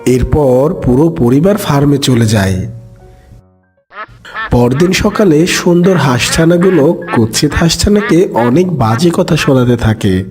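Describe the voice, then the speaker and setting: A man's voice: a little high, animated, unhurried, a little mellow, faint music behind. A man narrating a scripted children's fairy tale.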